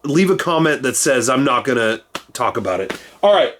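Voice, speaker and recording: A man's voice: big pitch swings, moderate pace, a bit bright, a little hiss or hum. Rambling, jokey man with a cold. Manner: rambling and jokey, with sudden jumps in pitch. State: getting over a cold. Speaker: host. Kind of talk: casual chat. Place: cluttered room at home.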